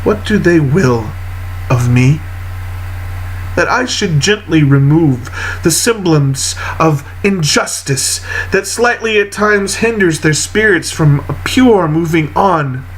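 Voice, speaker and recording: A man with a slightly high voice recites poetry solemnly and very slowly. His phrasing is deliberate and broken by frequent pauses. There is some noise behind the reading. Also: expressive, rough recording. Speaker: poetry reader.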